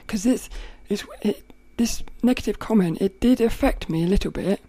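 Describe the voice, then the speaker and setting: A woman with a rough, cracking voice, hosting a podcast and thinking aloud in a rambling way, often laughing. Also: medium pitch, moderate pace, mellow, no echo. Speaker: podcast host. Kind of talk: casual reflective monologue.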